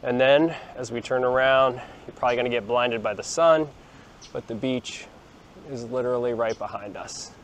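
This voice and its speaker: A man's voice: medium pitch, moderate pace. Man walking and talking outdoors to a phone. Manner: chatty and relaxed, with frequent ums and restarts. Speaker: vlogger showing a beach town. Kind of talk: casual walking tour talk to camera. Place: outdoors, sunny beachfront plaza and beach. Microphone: phone held at arm's length, small clip-on mic.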